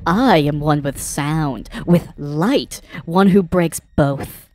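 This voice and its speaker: Female actor as solemn game speedrunner, low pitch, grand cryptic recitation, fantasy audio drama, faint music. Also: slow pace, darkish tone, no room sound. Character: solemn, mystical, self-mythologizing male video-game speedrunner.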